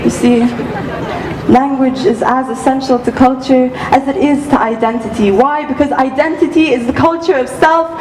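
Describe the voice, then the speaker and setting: A woman's voice: sits high, moderate pace. A teenage woman from Egypt, a native Arabic speaker, performing spoken-word poetry with dramatic, rhythmic, impassioned delivery. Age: teens. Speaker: student speaker. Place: auditorium stage. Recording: echoey hall with background noise.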